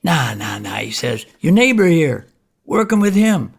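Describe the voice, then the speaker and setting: An actor playing a folksy, excitable neighbor and amateur vampire hunter, telling a comic story conversationally and acting out the dialogue. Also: male voice, on the high side, a little slow, gravelly, husky, exaggerated voice. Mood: amazed, baffled. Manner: animated, conversational, acts out dialogue. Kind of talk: comic first-person storytelling monologue.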